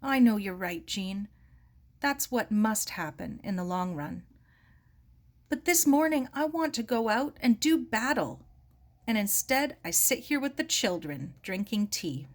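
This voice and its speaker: A woman voicing a bitter, stern older uncle who speaks in a curt, forceful manner. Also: mid-range voice, animated, unhurried, close to an everyday voice. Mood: frustrated, resigned.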